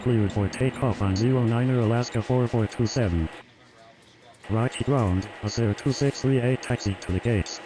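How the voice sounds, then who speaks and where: A man's voice: mid-range voice, normal speed, close to an everyday voice. A man playing a businesslike airline pilot giving formal, procedural radio calls in a game.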